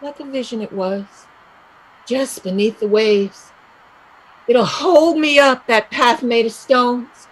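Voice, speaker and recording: A woman's voice: fairly high pitch, slow, husky, rough recording. A woman acting a restless, dreamy and bitter young Irish emigrant in a play reading, with an emphatic, lyrical delivery. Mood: rapt, dreamy.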